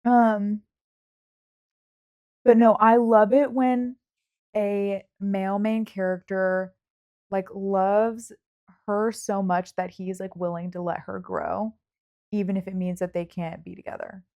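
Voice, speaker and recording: A woman's voice: medium pitch, little pitch movement, slow, long pauses, lo-fi sound. A woman podcast host in a casual book chat, talking informally with hedges and lots of "like" sprinkled through. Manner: informal, hedging, frequent "like".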